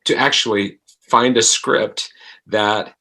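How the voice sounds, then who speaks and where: A man speaking as an interview guest, reflective and halting as he searches for his words. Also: on the low side, steady tone, bright, forceful tone. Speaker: podcast guest, actor.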